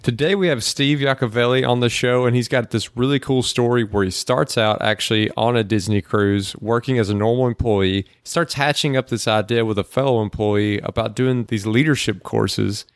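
A young man from the Boston area co-hosting a podcast, chatting in a relaxed, rambling way. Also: mid-range voice, moderate pace, hardly any pauses, clean tone, no echo, clean studio sound. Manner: relaxed, rambling, lots of fillers like "like". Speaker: podcast co-host. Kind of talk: casual chat.

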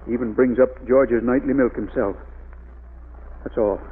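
An actor playing a loyal, reluctant caretaker with a rustic, hesitant way of talking, in a 1940s radio drama. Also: male voice, mid-range voice, steady tone, moderate pace, husky. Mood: reluctant, nervous. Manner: rustic, plain-spoken, hesitant drawl. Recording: tinny old radio recording.

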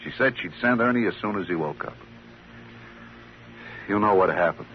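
A dull, faintly noisy vintage radio recording has a melancholy American nightclub comic. His low-leaning voice moves up and down expressively, wry and self-pitying. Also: expressive, moderate pace. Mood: wry, reluctant. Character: melancholy, wry small-time male comic. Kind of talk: 1950s radio drama acting. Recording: muffled, slightly noisy old radio recording.

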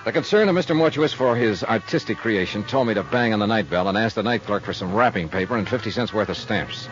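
A man's voice: on the low side, fast talker, muffled recording, faint music behind, lightly acted. A man acting a hard-boiled, unflappable private investigator in a 1950s radio detective drama, with a clipped, dry, matter-of-fact delivery. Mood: dry narration. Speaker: lead actor and narrator of radio drama.

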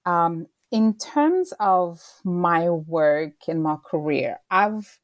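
Guest interviewee: woman, 30s, from Rwanda, low and reflective, dry close recording. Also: animated, extremely slow, no echo. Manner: reflective, searching for words. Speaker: guest author and lecturer. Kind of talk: casual interview answers.

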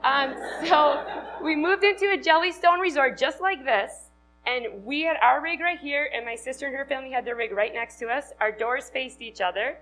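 A woman's voice: high voice, normal speed, fairly bright tone. A woman in her thirties from Wisconsin with a Wisconsin accent, giving a chatty, upbeat talk to a live audience and laughing often. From Wisconsin, USA. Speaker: conference speaker.

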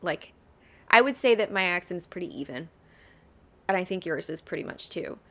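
Podcast co-host, female, lower voice, relaxed and chatty while telling a true crime case. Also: moderate pace. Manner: chatty, laughs often. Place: Ohio.

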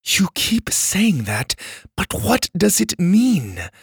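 A man's voice: fairly high pitch, wildly swooping pitch, slow, a bit bright, slightly rough, no echo, clean studio sound, voice pushed up, full character voice. A man voicing a suffering, impatient girl from underground in a children's story, with strained delivery. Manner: strained, laboured.

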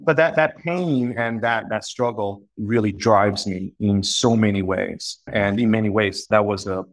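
A man from northeast China in his thirties, speaking as a guest in a relaxed interview. His voice sits a little low, and he talks in an earnest, reflective way, often doubling words as he restarts a thought. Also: a little slow. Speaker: guest, company founder. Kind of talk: casual interview conversation.

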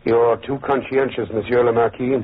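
A man playing a calm, probing, dignified French judge in old radio drama style, formal and measured. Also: mid-range voice, breathy edge, close to an everyday voice. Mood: brisk, assured. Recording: muffled, tinny old radio recording.

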